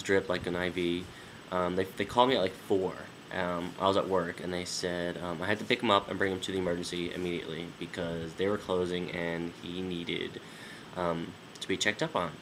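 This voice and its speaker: A man's voice: deep voice, fairly bright tone, slight background noise, low-quality recording. A man in his twenties, nasal from a sinus infection, giving a rambling casual vlog update full of ums and restarts. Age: 20s. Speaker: vlogger.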